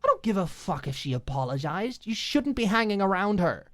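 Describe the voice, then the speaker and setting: A woman's voice: mid-range voice, sing-song, fairly quick, a bit bright, no room sound, studio quality, pitched above the performer's own voice. A woman playing a clingy, jealous young girlfriend in an audiobook drama, pushy and insistent. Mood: angry, jealous. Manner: coaxing, insistent, scornful when crossed.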